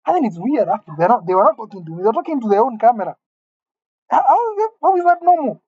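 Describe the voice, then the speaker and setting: A man's voice: very high voice, very animated, quick pace, darkish tone, husky, muffled recording. A man in his late twenties musing aloud to the camera in a wry, rambling, self-mocking way, alone in an empty room. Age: late 20s. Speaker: vlogger. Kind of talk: musing solo monologue to camera.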